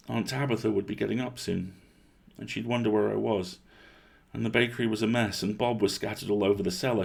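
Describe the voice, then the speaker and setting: A man reads a story aloud in a low voice with a creaky, husky grain. His delivery is expressive first-person narration, shaped like an audiobook. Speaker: story reader.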